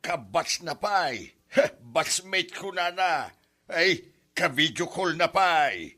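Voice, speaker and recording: Actor as a blustering, rough-voiced jealous Ilocano husband. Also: male voice, a little high, very animated, takes it slowly, sharp tone, full character voice. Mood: indignant, jealous. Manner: exclamatory, stammering when flustered. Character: jealous married Ilocano father, blustering and whiny. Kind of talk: comedy radio-style drama acting.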